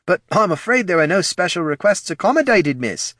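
Man doing a high, chatty, blithe ghoul. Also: very animated, brisk, fairly bright tone, no room sound, studio quality. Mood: amused. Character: chatty, unbothered male ghoul sidekicks, blithely helpful.

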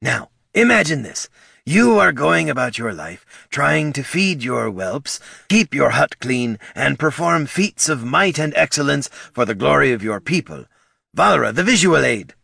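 A man's voice: mid-range voice, big pitch swings, a little slow, vocal fry, bright, forceful tone, slightly rough, dry recording, clean studio sound, pitched above the performer's own voice, heavily acted. A man playing a portly, graying male orc presenter with an eager, pompous, salesman-like manner.

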